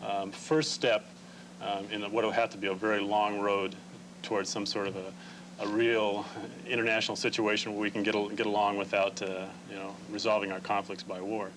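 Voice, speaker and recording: Man, American accent, fairly low voice, careful explanatory answers, noticeable hum. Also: little pitch movement, moderate pace. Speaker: Quaker relief organization representative, interview guest. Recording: noisy, humming old TV recording.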